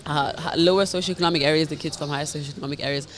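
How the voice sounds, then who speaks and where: A young Kenyan woman chatting as a podcast guest, lively and quick to laugh. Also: low-pitched. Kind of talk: casual interview chat.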